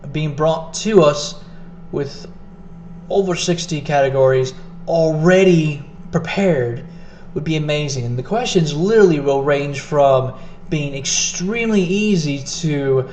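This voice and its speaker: A man casually talking to the camera in a vlog, rambling with many fillers and self-corrections, his voice getting hoarse. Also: fairly high pitch, a little mellow, slight background noise. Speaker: gaming video host. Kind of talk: casual unscripted vlog talk.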